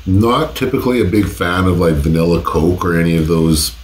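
A man's voice: deep voice, fairly quick, reverberant. A man in his forties hosting a casual taste-test vlog from his home office, laid-back and cursing freely, his voice stuffed up from a cold. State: congested from a cold. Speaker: host. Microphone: desk condenser mic.

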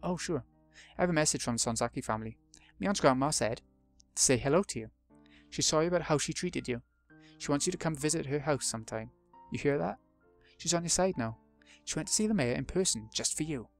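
Brief: a man reading an earnest boy, higher-voiced, reassuring and eager. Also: animated, brisk, long pauses, soft background music.

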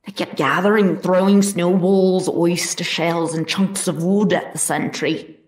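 A woman's voice: fairly low pitch, steady tone, a little slow, close to an everyday voice. A woman acting a plain-spoken, devout soldier's wife testifying firmly and formally in a historical courtroom drama. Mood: firm, earnest. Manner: firm, formal, period phrasing. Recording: roomy echo, distant mic.

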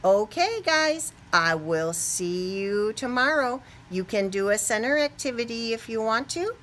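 Lively, bright-voiced American woman teaching children. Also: a little high, measured pace. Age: middle-aged. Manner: animated, playful, exaggerated questions and reactions as to small children. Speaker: kindergarten teacher. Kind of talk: read-aloud lesson for young children. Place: small classroom, indoors.

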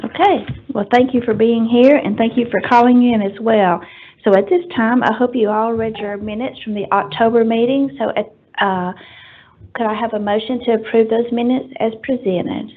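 Woman chairing a meeting, husky and tinny. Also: fairly high pitch, fairly even intonation. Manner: brisk, friendly, moving the agenda along. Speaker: board chair. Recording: tinny phone-like audio from a meeting stream.

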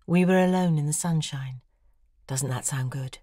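Husky, low-voiced obsessive lover. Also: female voice, moderate pace, warm tone, dry recording, studio quality. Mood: wistful, intimate. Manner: confessional, literary, intense. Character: obsessive, intense woman confessing a love affair. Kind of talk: intimate dramatic monologue.

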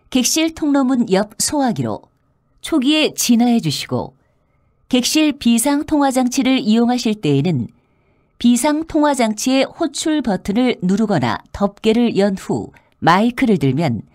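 A woman reading scripted subway announcements in a formal, polite, clearly enunciated way. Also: fairly high pitch, darkish tone, dry recording, clean studio sound. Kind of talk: scripted public transit announcement.

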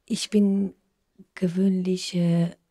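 Middle-aged woman, Romanian accent, low flat delivery, dark tone, halting question. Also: a little hiss or hum. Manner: hesitant, searching for words. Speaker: audience member asking a question. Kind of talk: spontaneous question from the audience. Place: outdoors among a seated audience.

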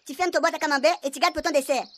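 A woman's voice: sits high, fairly even intonation, rapid-fire, lightly acted. A woman with a Réunionese accent voicing a naive, touchy young male island buddy in a local comedy radio soap, with defensive replies.

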